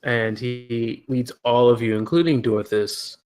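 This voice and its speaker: A man narrating as game master of a tabletop game, relaxed and halting, with frequent fillers. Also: mid-range voice. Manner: relaxed, hesitant with many fillers. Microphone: headset or desk mic on a voice call.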